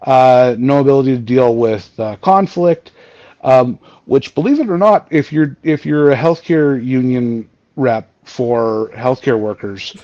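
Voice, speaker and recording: A Canadian man in his forties with a booming voice, telling his story in a rambling way as a podcast guest. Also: unhurried, dark tone, no echo, dull audio. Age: 40s. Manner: rambling, many fillers and restarts. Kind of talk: casual storytelling chat. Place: home. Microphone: remote video call.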